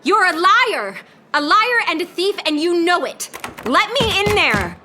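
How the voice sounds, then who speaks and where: A woman's voice: very high voice, big pitch swings, measured pace, background noise, exaggerated voice. A woman playing a slight, furious accuser, indignant and confrontational, in an audio drama. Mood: angry, accusing. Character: angry, slight woman demanding justice for her grandmother. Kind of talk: scripted audio drama acting.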